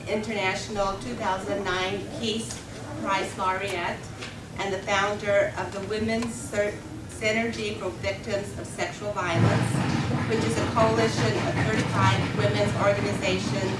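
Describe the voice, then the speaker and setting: Woman giving a formal welcome in an echoey hall. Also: mid-range voice. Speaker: event moderator for advocacy groups. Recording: echoey hall, distant mic, background noise.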